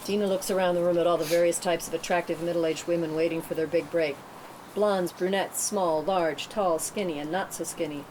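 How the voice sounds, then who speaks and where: A woman's voice: fairly low pitch, steady tone, fairly quick, darkish tone. A woman reading scripted stage directions as narrator at a table read, in a noisy room.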